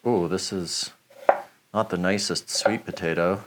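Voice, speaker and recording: Chatty man, low voice. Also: unhurried, heavy background noise. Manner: relaxed and chatty, rambling with many fillers. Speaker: knife shop reviewer. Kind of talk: casual product review while chopping.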